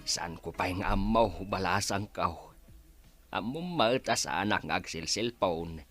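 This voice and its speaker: A man's voice: deep voice, lively intonation, a little slow, fairly bright tone, faint music behind, exaggerated voice. An actor playing a worried, humble old village father in a radio drama. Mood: worried, pleading.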